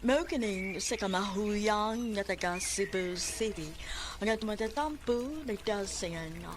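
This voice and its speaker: A woman's voice: mid-range voice, unhurried, noisy background. A woman with a Cebuano accent reading formal scripted narration as a radio drama announcer.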